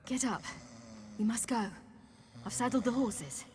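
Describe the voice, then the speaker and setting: A woman's voice: a little high, expressive, unhurried, a little mellow, slightly rough, big-room sound, full character voice. A woman voicing a young, restless swordswoman in a video game, speaking earnestly and urgently. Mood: urgent, resolute. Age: young adult. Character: determined, restless young swordswoman and fledgling mage. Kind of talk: video game cutscene acting.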